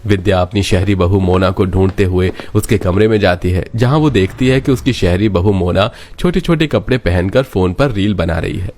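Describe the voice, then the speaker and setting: A man's voice: low-pitched, normal speed, hardly any pauses, darkish tone, a little hiss or hum. A man reading scripted narration as the storyteller.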